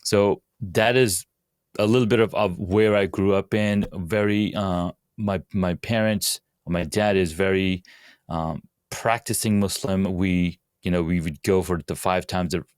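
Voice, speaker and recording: A man from Pakistan, a podcast guest, answering questions in a measured, earnest way. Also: deep voice, fairly even intonation, clear, resonant tone, no echo, studio quality. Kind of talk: casual interview answers.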